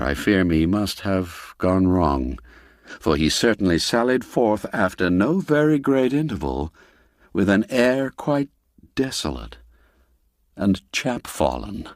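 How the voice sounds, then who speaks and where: A man narrating a classic ghost tale in a theatrical, expressive storytelling manner, dwelling on the darker words. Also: deep voice, no room sound, clean studio sound.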